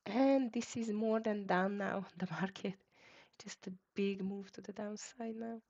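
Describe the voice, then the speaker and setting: A woman's voice: medium pitch, fairly even intonation, measured pace, a little mellow. Hungarian lady calling trades live, short choppy ticker callouts, muffled lo-fi audio. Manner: clipped ticker callouts with fillers. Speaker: live trading stream host. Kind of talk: live market commentary. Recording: dull lo-fi stream audio.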